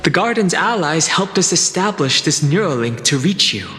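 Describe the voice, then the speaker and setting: A man voicing an earnest, encouraging young adventuring companion in a video game, measured and persuasive. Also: fairly high pitch, big pitch swings, bright, forceful tone. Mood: explanatory. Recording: long reverberant hall effect. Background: game music.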